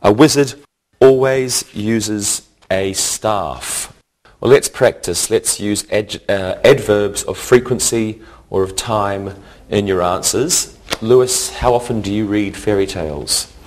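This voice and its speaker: Male language teacher, slow and fairly low, deliberate instructional delivery of scripted grammar explanations. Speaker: English teacher. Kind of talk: scripted grammar lesson narration.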